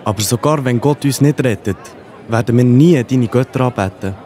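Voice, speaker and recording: A man's voice: medium pitch, moderate pace, mellow, some chatter behind, natural voice. An actor playing a brave, devout young man who is resolute and defiant. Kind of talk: children's Bible audio play.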